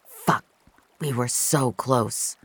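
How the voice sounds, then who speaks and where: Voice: medium pitch, extremely slow, fairly bright tone, husky, no room sound, full character voice. A narrator playing an earnest, deflated young man, subdued and hesitant, in an audio drama. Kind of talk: acted dialogue in audio drama.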